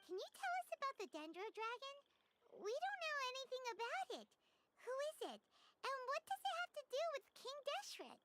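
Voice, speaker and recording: A woman's voice: very high-pitched, big pitch swings, a little fast, heavy background noise. A woman voicing a tiny, eager, outspoken childlike fairy companion in a video game. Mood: curious.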